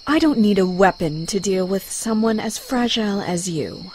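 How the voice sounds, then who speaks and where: A woman's voice: mid-range voice, lively intonation, normal speed, a little mellow, husky. A woman voicing a fierce, proud, hot-tempered young Amazon warrior in a motion comic, blunt and dramatic. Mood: defiant.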